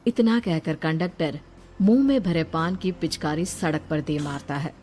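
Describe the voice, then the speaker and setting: A woman's voice: low-pitched, normal speed, darkish tone, slight background noise. A woman reading a scripted horror story as its narrator. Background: background music.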